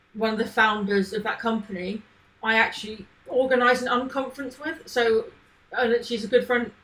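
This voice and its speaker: A woman's voice: fairly high pitch, fairly bright tone, low-quality recording. A woman chatting casually on a live coding stream, thinking aloud and answering questions from chat. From not from Manchester. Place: home, living in Manchester.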